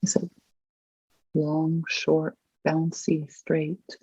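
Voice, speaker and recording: Guide for a visualization exercise: very slow, mellow and husky, gentle prompting, long pauses, dry dull recording. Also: mid-range voice, completely flat delivery, no room sound.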